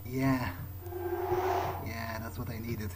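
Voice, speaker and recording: A man voicing a wary, wry vampire, thinking aloud in halting phrases as he role-plays through a video game. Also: mid-range voice, flat delivery, natural voice. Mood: musing, alert. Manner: musing, halting, talking to himself. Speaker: video game player role-playing in character. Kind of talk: in-character game roleplay commentary. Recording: loud ambient game noise behind voice. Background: video game ambience.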